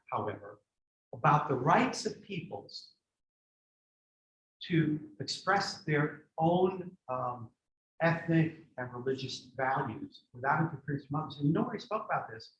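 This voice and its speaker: A man born in Greece giving a history lecture and answering audience questions in a thoughtful, digressive way with many restarts. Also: on the high side. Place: community center lecture room. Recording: echoey room, dull lo-fi sound.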